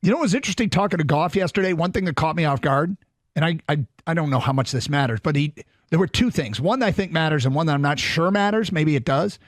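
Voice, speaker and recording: A man co-hosting a sports radio show, chatting casually and rattling off injury reports briskly. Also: fairly high pitch, animated, no echo, studio quality. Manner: brisk, reads lists quickly. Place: radio studio.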